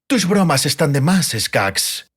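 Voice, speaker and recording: A man's voice: a little high, big pitch swings, slow pace, husky, heavily acted. Man acting a dignified elf, cold, formal, reproving, fantasy storybook. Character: cool, proud, dignified slender male elf.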